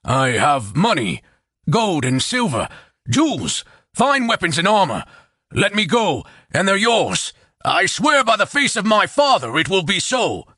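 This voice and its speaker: A man's voice: fairly high pitch, big pitch swings, takes it slowly, bright, forceful tone, no room sound, studio quality, full character voice. A man voicing a wounded, hateful male bandit chieftain who growls threats and begs in panic. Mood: panicked pleading. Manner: growling, then pleading in panic.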